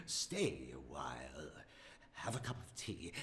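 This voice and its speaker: An actor playing an eccentric, sinister male scientist in a radio play, with a halting, polite manner. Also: very deep voice, wildly swooping pitch, fairly bright tone, heavily acted. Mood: apologetic, ingratiating. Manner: hesitant, halting politeness with many stammers. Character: eccentric sinister male scientist, hesitant host turning coldly clinical. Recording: radio drama recording.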